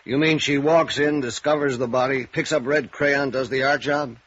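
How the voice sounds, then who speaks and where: A man's voice: medium pitch, fairly even intonation, moderate pace, fairly bright tone, a little hiss or hum, close to an everyday voice. A man with an American accent playing a tired, skeptical, plain-spoken police sergeant in a 1950s radio drama, blunt and colloquial. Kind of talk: 1950s radio noir acting. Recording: muffled old radio recording.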